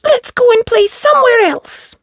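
A woman voicing a small, squeaky young girl rabbit from a children's storybook. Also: moderate pace, dry recording, clean studio sound. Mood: fussy.

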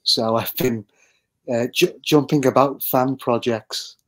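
A man answers interview questions on an online call. He is polite and modest, with a medium-pitched voice. He talks slowly and hesitantly, often pausing to find his words. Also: steady tone. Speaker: guest, amateur actor. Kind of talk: interview chat.

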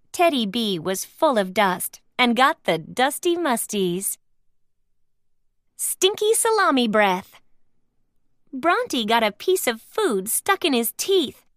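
Slow, high-voiced woman reading a storybook. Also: a bit bright, no room sound, clean studio sound. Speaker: storybook narrator. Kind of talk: scripted read-aloud narration for young children.

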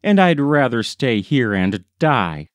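A man's voice: mid-range voice, sing-song, slow, no room sound. Weary, stubborn lumberjack, read by a man. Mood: agitated, defiant. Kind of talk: storybook reading.

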